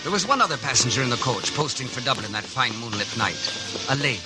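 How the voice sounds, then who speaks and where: An actor in a 1950s radio drama playing a dashing, flirtatious Irish soldier of fortune, theatrical in both narration and dialogue. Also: male voice, mid-range voice, big pitch swings, fast talker, natural voice. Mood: jaunty storytelling. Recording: old radio broadcast with music underneath.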